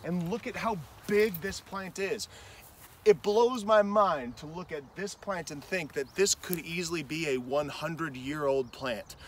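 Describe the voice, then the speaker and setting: A man's voice: sits high, a bit bright. Outdoor vlogger, thirties man, enthusiastic and chatty, camera mic with light background hiss. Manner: enthusiastic, chatty, pointing out plants. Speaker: gardening vlogger. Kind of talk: walking nature vlog. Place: outdoor trail in a nature preserve. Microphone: handheld camera framing, on-camera mic.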